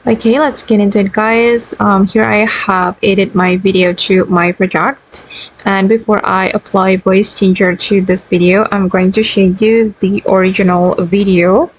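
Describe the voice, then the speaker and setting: Woman hosting a casual software tutorial. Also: medium pitch, steady tone, a little slow, low-quality recording. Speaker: tutorial host.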